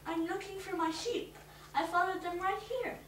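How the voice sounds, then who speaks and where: A woman's voice: very high voice, normal speed, fairly bright tone, voice pushed up. A woman voicing a bold little girl who talks eagerly and earnestly. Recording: echoey room, distant mic, noisy.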